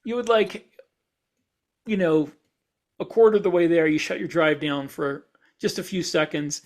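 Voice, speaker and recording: A man's voice: a little high, normal speed. A man co-hosting a podcast, talking through ideas in a casual, explanatory way as he thinks aloud. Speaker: podcast co-host. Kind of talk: casual discussion of speculative science for fiction.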